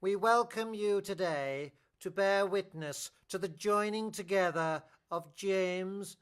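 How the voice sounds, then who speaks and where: Woman putting on a ponderous, low-pitched male vicar. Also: slow pace, smooth, close to an everyday voice. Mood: solemn. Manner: ponderous, ceremonial. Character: solemn male vicar conducting a wedding.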